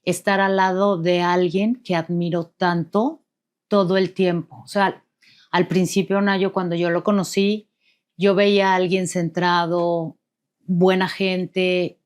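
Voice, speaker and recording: A woman's voice: lowish voice, steady tone, slow pace. A woman, a guest co-author, answering interview questions in an earnest and openly affectionate way.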